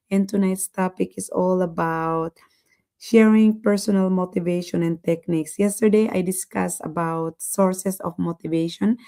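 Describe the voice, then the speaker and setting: A woman hosts a motivational live stream in a low, mellow voice with plenty of gravelly fry. She sounds raspy and a little hoarse, and she speaks earnestly, building her points through repeated, emphatic phrases. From lives in San Francisco, California. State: somewhat hoarse voice. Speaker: live-stream host and motivational business mentor.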